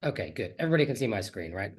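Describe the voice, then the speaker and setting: A man's voice: fairly low pitch, big pitch swings, rapid-fire, breathy edge. A man with a slight cold giving a chatty, friendly online conference talk as a teacher trainer, on a computer mic over a video call. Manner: friendly, chatty, self-deprecating.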